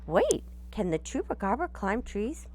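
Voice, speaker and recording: A woman's voice: medium pitch, sing-song, unhurried, a little mellow, slight background noise, pitched above the performer's own voice. Woman acting a nervous, fretful storybook goat. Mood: anxious. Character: nervous, easily frightened, slightly silly goat.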